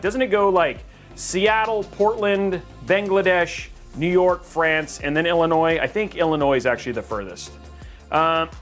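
A man's voice: sits high, fairly bright tone. A man from Texas hosting a casual livestream, reading chat and joking with viewers, rambling and restarting his sentences.

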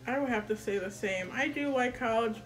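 A woman's voice: fairly high pitch, fairly quick, soft background music. A young woman from St. Louis chatting frankly with friends. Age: young adult. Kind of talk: casual chat.

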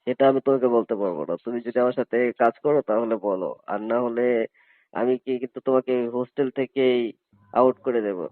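A man's voice: mid-range voice, fast talker, no room sound, natural voice. A man playing a coercive college hostel superintendent in a phone-call drama, insistent and persuasive as he pressures a student. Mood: threatening.

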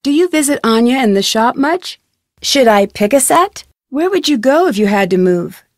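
A young female sleuth from an adventure video game. Her voice sits fairly high and is recorded close and dry. She speaks politely and clearly, with an inquisitive turn to her scripted lines. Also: animated, normal speed, no room sound. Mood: friendly, curious. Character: curious, polite young female amateur detective. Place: studio.

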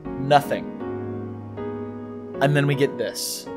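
A man's voice: medium pitch, takes it slowly, darkish tone. A man hosting a video with casual, chatty, jokey YouTube commentary. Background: music.

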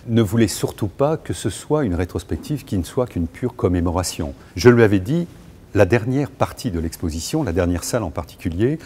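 A middle-aged man, a museum curator, explaining an exhibition in a measured, articulate, lecturing way, recorded on a lapel mic in a large gallery. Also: lowish voice, warm tone, a little hiss or hum. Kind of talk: explanatory art commentary.

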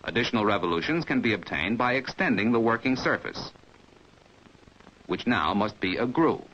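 An American man reading a scripted 1950s training film narration in a measured, precise, didactic manner, as heard on an old film soundtrack. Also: lowish voice, lively intonation, muffled recording.

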